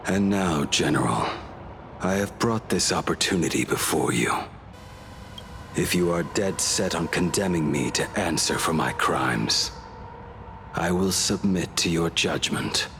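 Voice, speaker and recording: Grim haunted outlaw, super deep voice, slow solemn talk, game cutscene. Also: male voice, fairly bright tone, a little hiss or hum. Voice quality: very deep, gravelly. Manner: brooding, solemn, formal. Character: grim, haunted male swordsman outlaw, cold and resolute. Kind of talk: dramatic game cutscene acting.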